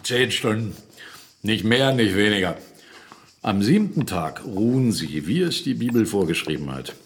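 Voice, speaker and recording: An actor playing a proud, brusque mill owner and captain in a radio drama, boastful and quick to argue. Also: male voice, fairly low pitch, expressive. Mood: defiant. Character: blunt, proud mill-owning captain, a gruff businessman.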